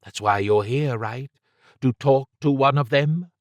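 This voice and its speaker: A man's voice: mid-range voice, measured pace, no room sound, voice pushed up, lightly acted. A man voicing a guarded woman agent, blunt and clipped, with an edge to her words. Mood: pressing.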